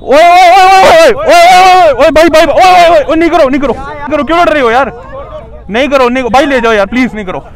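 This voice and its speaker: A man's voice: very high-pitched, brisk. A man urgently and repetitively pleading in the middle of a street fight. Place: roadside outdoors. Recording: outdoor phone clip amid a noisy crowd.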